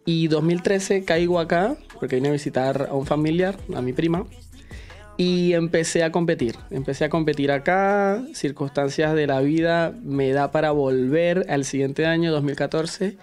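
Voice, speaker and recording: A man's voice: fairly high pitch, moderate pace, a little mellow, faint music behind. A young man from Venezuela with a Venezuelan accent, a dancer guest in a studio interview, friendly and upbeat. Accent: Venezuelan Spanish. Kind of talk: casual interview. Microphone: handheld microphone.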